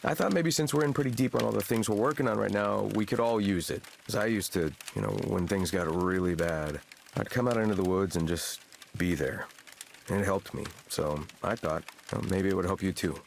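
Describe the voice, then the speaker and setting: A man's voice: fairly low pitch, fairly quick, vocal fry, a little hiss or hum. A man playing an earnest, idealistic young male grad student in a visual novel, speaking in a reflective, hesitant, naturalistic way. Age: young adult. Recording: music behind the voice. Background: background music.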